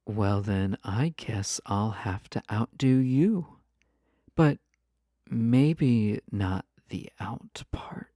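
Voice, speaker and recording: A performer voicing a flirty, possessive yandere spouse in an intimate audio roleplay, teasing and coy, often dropping into breathy whispers. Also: mid-range voice, very slow, warm tone, no echo, clean studio sound. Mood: teasing, seductive. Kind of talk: intimate roleplay audio drama, spoken to listener.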